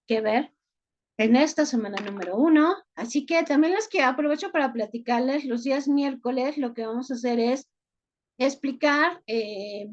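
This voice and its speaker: Mexican woman teacher, chatty, filler-heavy, slightly high voice heard through a dull video-call line. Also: darkish tone. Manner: chatty, frequent "este" fillers. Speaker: online course teacher. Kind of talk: online class, reading chat introductions. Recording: muffled lo-fi video-call audio.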